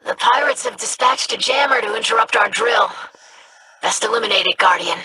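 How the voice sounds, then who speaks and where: A woman's voice: a little high, brisk, noisy background, lightly acted. An actress playing a young, earnest female alien scholar in a video game, polite, formal and thoughtful.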